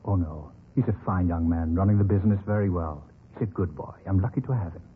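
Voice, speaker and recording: Gentle, stubborn old businessman played by a male actor, low-voiced and hesitant, for a vintage radio crime drama on a tinny recording. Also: quick pace, slight background noise, close to an everyday voice. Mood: mild, reassuring. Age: older. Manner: hesitant, mild, repeats himself.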